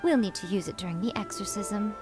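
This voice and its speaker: A woman's voice: medium pitch, fairly quick, natural voice. A woman voicing a sly, teasing shrine priestess. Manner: playful, condescending, amused. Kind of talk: video game voice acting. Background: game music.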